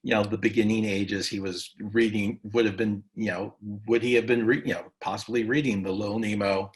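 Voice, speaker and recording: Low-voiced man, rambling casual interviewer. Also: steady tone, brisk, low-quality recording. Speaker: interviewer, session host.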